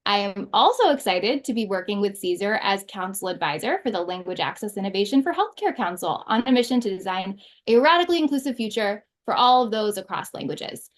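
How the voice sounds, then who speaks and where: A woman's voice: medium pitch, expressive, a little fast, few pauses. A woman speaking as the host of a conversational interview.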